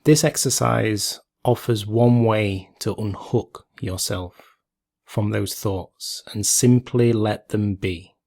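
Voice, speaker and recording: A man leading a guided meditation in a calm, gentle, measured way, leaving long pauses between phrases. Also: fairly low pitch, creaky.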